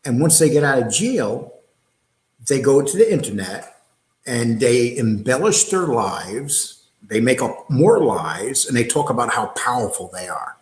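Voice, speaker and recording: A man's voice: medium pitch, sing-song, a little slow, roomy sound, low-quality recording. A man hosting a livestream talk show, blunt and rambling, throwing out rhetorical questions and reading viewer comments. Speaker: show host.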